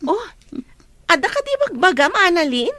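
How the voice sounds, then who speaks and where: A woman's voice: very high voice, big pitch swings, moderate pace, pushed and bright, no room sound, clean studio sound, heavily acted. A woman with a Filipino accent playing a chatty, teasing young office friend in an Ilocano audio drama.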